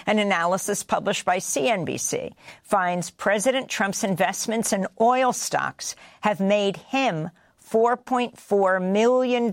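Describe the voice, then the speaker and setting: A woman news anchor with an American accent reading scripted headlines in a measured, deliberate, emphatic way. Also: mid-range voice, dry recording, studio quality. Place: broadcast studio.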